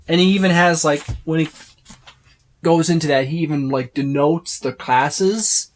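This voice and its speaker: A man's voice: on the high side, a little slow. A man giving a casual, unscripted walkthrough as a reviewer, rambling and listing items as he browses. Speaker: tabletop game book reviewer.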